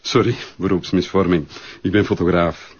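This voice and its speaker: An actor with a Flemish accent playing a smooth, flattering and pushy photographer in a naturalistic TV drama. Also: male voice, sits low, normal speed, highs cut off. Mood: smooth, apologetic. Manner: smooth-talking, persuasive.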